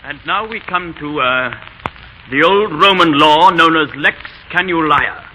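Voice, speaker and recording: An actor playing a shy, formal English schoolmaster husband with hesitant speech and dry humour, in 1930s radio drama style. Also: male voice, on the high side, unhurried, close to an everyday voice. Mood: dry lecturing. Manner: hesitant, formal, dryly humorous. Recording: dull, noisy 1930s radio recording.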